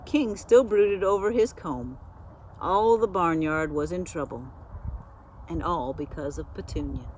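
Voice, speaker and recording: A woman reading a children's storybook aloud, expressively and with dramatic pauses. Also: on the low side, a little mellow, a little hiss or hum, low-quality recording. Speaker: school media specialist reading aloud.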